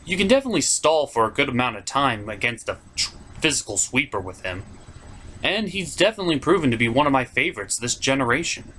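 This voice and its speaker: A man's voice: mid-range voice, sing-song, quick pace, pushed and bright, slight background noise. A man hosting a top 10 countdown, giving opinionated video game commentary in an informal, emphatic way. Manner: informal, emphatic, with mild swearing.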